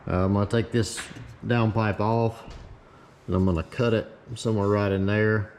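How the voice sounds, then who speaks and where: A man with a fairly low voice hosts a casual shop video. He explains things in plain, folksy words, like a car builder thinking aloud. A steady hum of noise sits clearly behind him. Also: normal speed. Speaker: host, race car builder.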